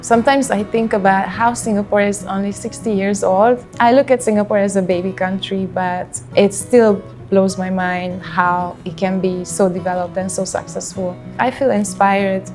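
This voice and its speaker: A woman's voice: mid-range voice, fairly even intonation, normal speed, few pauses, darkish tone. Hungarian-accented woman over faint music. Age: 30s. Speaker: interviewee in a portrait documentary. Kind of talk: reflective personal interview. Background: background music.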